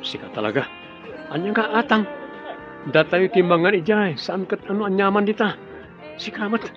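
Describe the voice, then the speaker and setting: A man's voice: sits high, moderate pace, highs cut off, over music, natural voice. A Filipino man playing a devoted young groom, earnest and easygoing, in a radio drama. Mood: amused, teasing.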